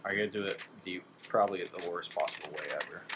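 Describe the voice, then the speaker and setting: Male DIY hobbyist, low-pitched, casual and musing, talking half to himself; clearly noisy recording. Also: fast talker. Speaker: DIY electronics hobbyist narrating his work. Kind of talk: casual thinking-aloud workshop chat.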